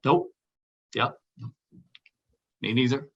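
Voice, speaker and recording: A man's voice: mid-range voice, fairly even intonation, very slow, breathy edge, muffled recording. A man playing a casual, evasive buddy in a script table read. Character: casual, evasive male buddy with a dark streak.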